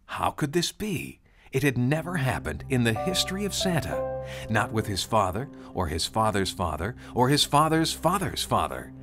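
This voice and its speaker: A male narrator tells a scripted storybook tale at a middle pitch. His delivery is dramatic and lively in intonation, with music clearly playing behind him. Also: normal speed. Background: music under the narration.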